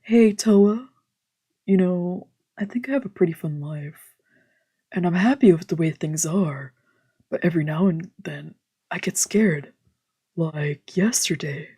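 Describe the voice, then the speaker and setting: A woman voicing an anxious, wistful, androgynous young man from a visual novel, in a casual, confiding and halting way. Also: on the low side, warm tone, husky. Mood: anxious, hesitant. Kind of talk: reading visual novel dialogue aloud. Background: game music.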